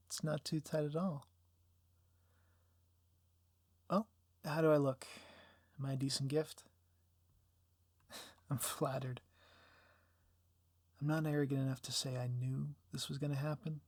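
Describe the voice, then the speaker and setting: A man playing a reformed villain turned sappy, dorky sweetheart in an ASMR roleplay, speaking softly and intimately with gentle teasing. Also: mid-range voice, husky, no room sound, studio quality. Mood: smitten, soft.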